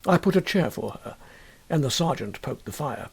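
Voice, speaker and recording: A man reading a first-person story as an audiobook narrator, expressive, measured and deliberate. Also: mid-range voice, vocal fry, breathy edge.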